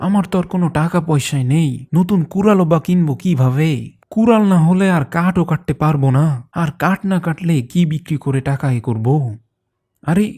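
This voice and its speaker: A man's voice: on the high side, warm tone, lightly acted. A man voicing a greedy, self-pitying woodcutter in a cartoon folk tale. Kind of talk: animated folk-tale dialogue.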